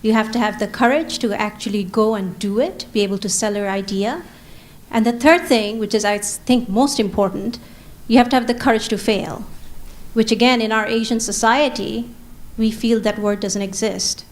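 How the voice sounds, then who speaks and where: A woman's voice: fairly high pitch, steady tone, normal speed. Earnest woman in her forties. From Bangladesh. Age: 40s. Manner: earnest, emphatic, repeats key phrases. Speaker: panelist, social entrepreneur. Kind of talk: panel talk.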